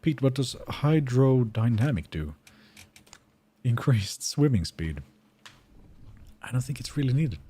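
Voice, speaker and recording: A man's voice: medium pitch, animated, a little slow, long pauses, mellow, slightly rough. A man with a Swedish accent, Finnish by first language, streaming a game and chatting casually, thinking aloud and answering his viewers. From Sweden. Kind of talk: casual live-stream chat while gaming.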